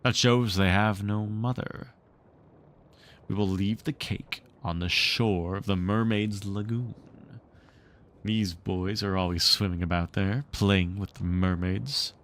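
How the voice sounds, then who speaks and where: A man's voice: lowish voice, steady tone, a bit bright. A man voicing a villainous pirate captain in a storybook, gloating and menacing, drawing his words out through his teeth. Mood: scheming menace. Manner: slow, drawn-out speech through clenched teeth.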